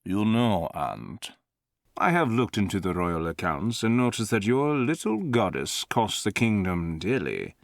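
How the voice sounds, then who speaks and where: Scheming prince villain for a visual novel: unhurried, measured, sneering menace, close dry sound. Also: male voice, on the low side, big pitch swings, vocal fry, dry recording, full character voice. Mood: coldly menacing. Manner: measured, mocking, threatening. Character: scheming, arrogant young noble prince, menacing nephew. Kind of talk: dramatic visual novel acting.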